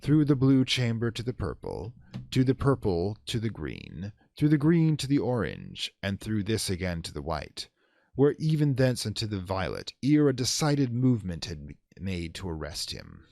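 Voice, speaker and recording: A man's voice: fairly low pitch, wildly swooping pitch, moderate pace, creaky, darkish tone, dry recording, clean studio sound. A man hosting and reading horror stories in a theatrical, ornate manner, with a gothic storyteller's delivery. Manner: theatrical, ornate phrasing with wry asides. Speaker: horror story reader and channel host. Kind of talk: dramatic gothic audiobook reading, then informal host sign-off.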